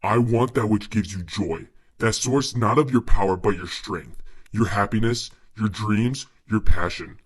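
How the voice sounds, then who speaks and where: Man putting on a low, sinister bargaining demon. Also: breathy edge. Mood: ominous, bargaining. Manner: slow, menacing, theatrical. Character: sinister male demon, gloating, smooth-talking bargainer.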